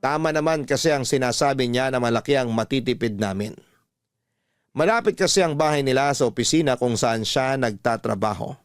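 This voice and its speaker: A man's voice: medium pitch, expressive, fairly quick, clear, resonant tone, no echo, studio quality, faint music behind. A man with a Filipino accent reading scripted first-person radio drama narration as the storyteller. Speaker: narrator of a letter-based love story drama.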